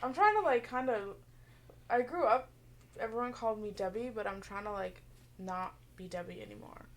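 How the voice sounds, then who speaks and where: A woman's voice: fairly high pitch, normal speed. A young woman co-hosting a podcast in casual chat, mumbling a bit and laughing often. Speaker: podcast co-host.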